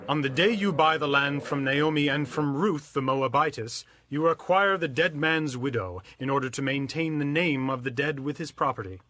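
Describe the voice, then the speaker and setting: A man's voice: on the high side, normal speed, few pauses, bright, forceful tone. An actor playing a kindly, upright male landowner in dramatized scripture, earnest, declamatory and authoritative. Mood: businesslike.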